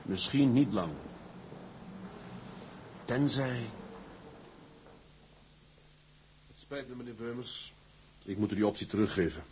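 An actor playing a defeated but stubborn tugboat captain, earnest and formal, in a Dutch radio play. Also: male voice, mid-range voice, a little slow, crowd noise, natural voice. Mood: regretful.

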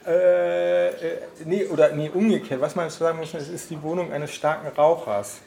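A man in a casual service conversation, choosing his words carefully and haltingly as a receptionist. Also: fairly high pitch, steady tone, creaky, dark tone. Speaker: hotel receptionist. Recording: noisy, echoey room recording.

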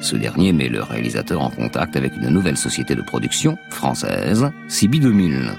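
Male host, storyteller narration, gravelly low voice, music playing underneath. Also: huge pitch swings, fairly quick. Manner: dramatic storyteller, poses rhetorical questions. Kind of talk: scripted radio documentary narration.

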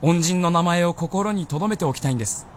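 An actor playing an earnest, polite, determined young peasant youth, sincere and respectful, in a period radio drama. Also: male voice, high voice, a little fast, a little hiss or hum, natural voice. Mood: earnest gratitude. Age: young adult.

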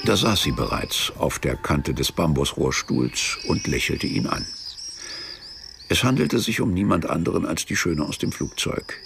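A man narrating a scripted literary radio drama as a wry storyteller, measured and deadpan. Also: very deep voice, creaky, soft background music, heavily acted. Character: dry, wry storyteller of a travel tale.

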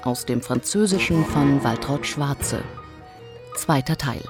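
A woman announcer reads radio drama credits from a script. Her voice is low and she goes very slowly, phrase by phrase. Music plays clearly behind her.

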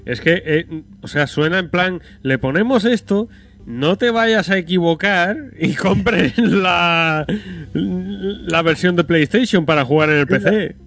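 A man's voice: sits high, slow pace, fairly bright tone. Blunt, skeptical man. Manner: blunt, swears, skeptical, laughs often. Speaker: podcast co-host. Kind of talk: casual gaming chat.

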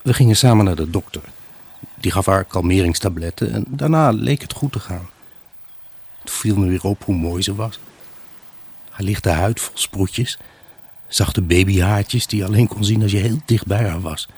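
A man's voice: lowish voice, normal speed, darkish tone, slightly rough. A man acting a troubled, brooding husband who tells of his unhappy marriage in a radio play monologue. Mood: reflective, weary. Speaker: actor narrating a radio drama. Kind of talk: dramatic first-person monologue in a Dutch radio play.

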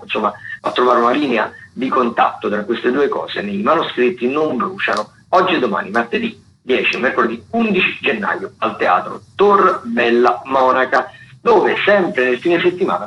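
A man hosting a radio show, talking through theatre listings in a halting, unscripted way. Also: mid-range voice, sharp tone. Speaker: radio host. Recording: dull, lo-fi radio sound with clear noise.

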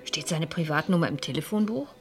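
An actress playing a composed, persuasive French madame who speaks and narrates in a measured way in a radio crime drama. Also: female voice, low-pitched, animated, soft background music. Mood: coaxing, assured. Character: composed, persuasive French madame recounting her own mystery.

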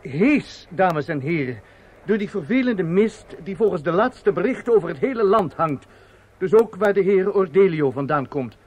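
Self-satisfied theatre impresario for radio play: high-pitched, very animated, flustered and pompous. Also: male voice, moderate pace, highs cut off. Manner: hesitant and muttering offstage, pompous in speeches. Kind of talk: radio drama acting.

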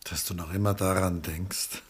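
An actor playing a reserved, melancholy middle-aged man, laconic and measured, in a 1960s radio drama. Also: low voice, steady tone, slightly rough. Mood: brooding. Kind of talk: 1960s German-language radio drama acting.

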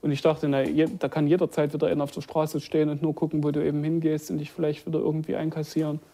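A man tells a personal recollection in everyday speech. His voice sits a little high and stays soft-edged, with very little rise and fall. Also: flat delivery, quick pace, creaky. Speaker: eyewitness.

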